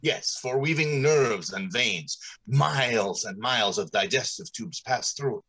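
Male, middle-aged, acting assured radio-play industrialist, emphatic and explanatory, husky voice with wide swings. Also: medium pitch, lively intonation, measured pace, bright, forceful tone, full character voice. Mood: eager, proud. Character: confident, talkative robot factory manager. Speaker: actor. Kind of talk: old-time radio drama acting. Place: small indoor room on a video call.

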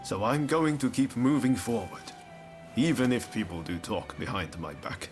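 A male actor voices a bold, friendly young ronin in a video game. He is an idealist who talks in a casual, earnest way and encourages others, at a medium pitch for a man. Music plays clearly behind him. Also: lively intonation, normal speed, close to an everyday voice. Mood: warm, hopeful. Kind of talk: video game cutscene acting.

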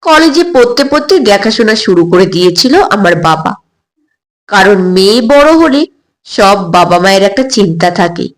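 A woman's voice: mid-range voice, lively intonation, moderate pace. A woman narrating an audio story expressively in the first person.

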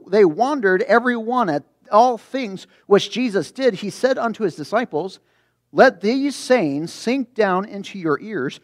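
A man preaching a sermon as a pastor, reading scripture and explaining it in an animated way, acting out reactions. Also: high-pitched, no room sound, clean studio sound. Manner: animated, acts out imagined reactions.

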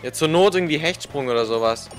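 Animated young man gaming, swinging pitch. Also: fast. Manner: voice climbs high when panicking. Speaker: let's player. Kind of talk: casual co-op gaming banter. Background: video game music.

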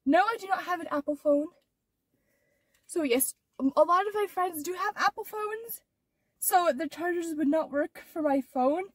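Teen girl vlogger, very high voice, stuffed-up nose, rambling with fillers, heard from a distance. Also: normal speed, no room sound. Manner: rambling, many "like" and "or whatever" fillers. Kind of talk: casual vlog chat. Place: inside her car.

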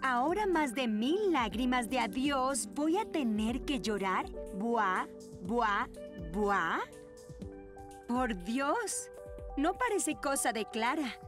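Lively, high-voiced cartoon teen friend. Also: female voice, slow pace. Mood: indignant, mocking. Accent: Latin American. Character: sensible, outspoken, loyal teenage girl friend. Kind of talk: dubbed children's cartoon acting. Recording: music behind the voice.

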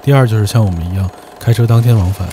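A man narrating his travel vlog in a calm, explanatory way, giving practical tips. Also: on the low side, rapid-fire, background noise. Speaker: travel vlogger. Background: background music.

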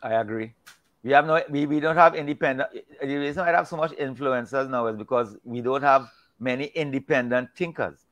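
A man's voice: medium pitch, a little fast, fairly bright tone, muffled recording. An older man from Trinidad with a Trinidadian accent, talking casually about faith on a video call, often repeating words as he goes. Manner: rambling, repeats words, folksy. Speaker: discussion guest. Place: indoors at home, virtual backdrop. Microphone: video call webcam.

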